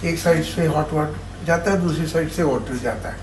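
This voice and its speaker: A man's voice: a little high, moderate pace, noisy background. An older man, the production head of a brewery, walking through the brewing steps and mixing English technical terms into his talk. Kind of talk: technical walkthrough. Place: brewery floor indoors. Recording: echoey factory hall.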